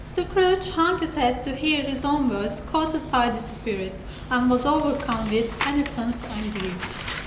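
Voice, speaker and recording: A woman's voice: high-pitched, measured pace. A young woman narrating a classic story in a dramatic literary reading. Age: young adult. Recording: echoey room, clear background noise.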